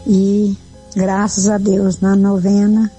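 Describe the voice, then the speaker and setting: A woman's voice: mid-range voice, completely flat delivery, slow, mellow, slightly rough, faint music behind. An older woman, a caller giving a personal religious testimony.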